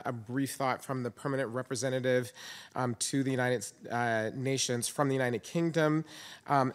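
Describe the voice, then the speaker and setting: Hesitant moderator in a reverberant hall. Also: male voice, mid-range voice. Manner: apologetic, hesitant with many ums. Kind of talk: chairing a meeting. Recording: long reverb in a large hall.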